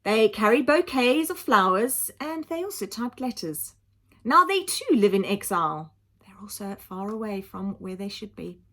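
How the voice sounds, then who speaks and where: A woman reads a children's story in a lively, highly varied voice with a touch of huskiness. She leans on dramatic words and steps aside to explain them plainly, as a teacher would for young listeners. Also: normal speed. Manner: animated, dramatic emphasis, explaining simply for children. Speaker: teacher reading aloud to children.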